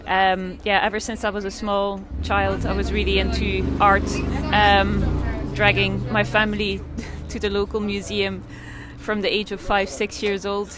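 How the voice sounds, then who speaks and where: A woman from Belgium in her forties answers interview questions about her art and photography. She talks haltingly, with frequent fillers and restarts. Her pitch stays level, with very little rise and fall. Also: monotone, music in the background. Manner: hesitant, many fillers and restarts. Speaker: artist and photographer.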